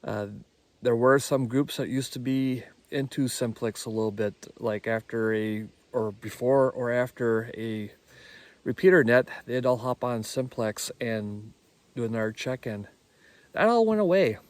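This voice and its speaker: A man's voice: mid-range voice, a little slow, no room sound. Casual man, amateur radio vlogger. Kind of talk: casual vlog talk.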